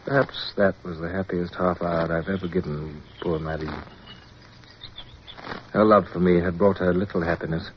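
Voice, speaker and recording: Male, commanding naval officer played by an actor, lowish voice, formal and crisp, dull vintage radio sound. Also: fairly even intonation, moderate pace, lightly acted. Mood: sombre, tender. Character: resolute British naval officer, commanding yet tender, recounting his war. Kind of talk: radio drama acting and first-person narration. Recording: muffled, noisy old radio recording.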